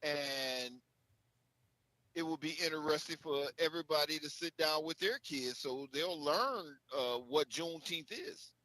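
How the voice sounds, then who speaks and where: Male talk-show participant, higher-pitched and bright, casual conversational style. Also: animated, measured pace, low-quality recording. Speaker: show participant giving opinions. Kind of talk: casual chat.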